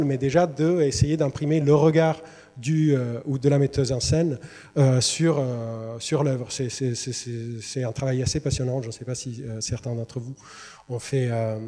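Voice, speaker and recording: A man presents his work as a translator in a hesitant way, with constant fillers. His timbre is dark and soft. Long reverb from a large hall carries his voice. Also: medium pitch. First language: Italian. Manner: hesitant, many fillers and restarts. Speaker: literary translator. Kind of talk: presentation to students. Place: university lecture hall.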